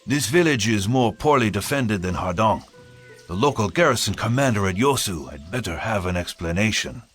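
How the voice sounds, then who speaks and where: An actor playing an honorable, commanding admiral in a video game, speaking in a formal, stately way. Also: male voice, on the low side, animated, normal speed, faint music behind, natural voice. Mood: stern. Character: honorable Korean admiral, commanding yet courteous man. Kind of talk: scripted video game voice acting.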